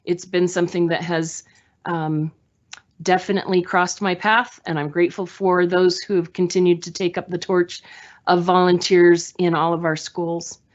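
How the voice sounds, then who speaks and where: A woman school board member joining a meeting by video call, giving her remarks haltingly with frequent pauses and fillers. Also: lowish voice, little pitch movement, highs cut off.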